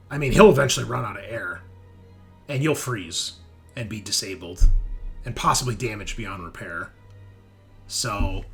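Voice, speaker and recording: Man, bright forceful voice, tabletop game master, descriptive sci-fi horror narration, casual swearing, music behind. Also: fairly low pitch. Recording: faint background music.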